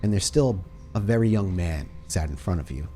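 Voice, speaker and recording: A man narrating a tabletop horror game as storyteller, with dramatic, deliberate scene-setting. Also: sits low, expressive, mellow, a little hiss or hum.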